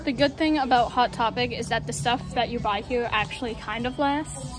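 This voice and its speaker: A young woman chatting casually and excitably to a handheld phone while out as a cosplay vlogger. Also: on the high side, normal speed, over music, rough recording. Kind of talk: casual chat to camera. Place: shopping mall and stores, outdoors at first. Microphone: handheld phone at arm's length.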